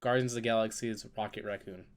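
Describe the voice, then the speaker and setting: A man's voice: fairly low pitch, fairly even intonation, fast talker. A man hosting a casual podcast chat, still congested and stuffy-nosed from being sick, upbeat and steering the questions. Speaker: podcast host.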